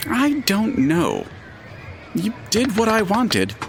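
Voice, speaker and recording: A man acting in an audio drama as a detached, formal narrator who speaks deliberately and matter-of-factly. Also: high-pitched, wildly swooping pitch, creaky, soft background music, exaggerated voice. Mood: cool, formal.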